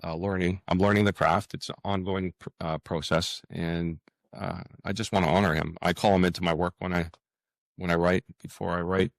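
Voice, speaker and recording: A man with a low voice and a close, dry sound talks reflectively in an interview. He is earnest and halting, often doubling back on his words as he explains himself. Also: level tone, creaky, dry recording. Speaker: podcast guest, fantasy writer.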